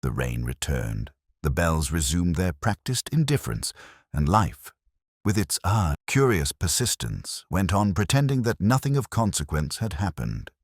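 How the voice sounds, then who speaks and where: A man performs a melancholy middle-aged police inspector narrating his own detective drama. His voice is extremely deep and moves expressively up and down. The delivery is measured, reflective and literary, recorded close and dry. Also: sing-song, no room sound, heavily acted. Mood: weary, reflective. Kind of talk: first-person scripted detective drama narration.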